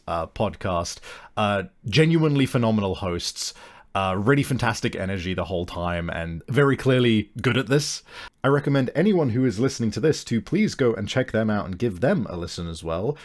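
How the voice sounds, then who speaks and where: A male podcast host gives a casual break announcement. His delivery is animated and upbeat, with an appreciative manner and plenty of movement in pitch.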